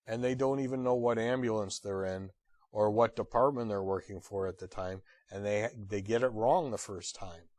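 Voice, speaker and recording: A man giving an instructional lecture as a trainer, blunt and direct with wry humor and emphatic pauses on key phrases. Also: lowish voice, darkish tone, no room sound. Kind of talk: instructional lecture on radio procedure.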